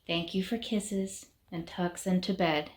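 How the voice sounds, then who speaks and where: Unhurried woman reading a children's book. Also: lowish voice, steady tone. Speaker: reader of a children's story. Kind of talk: picture-book read-aloud. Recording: background noise with clicks.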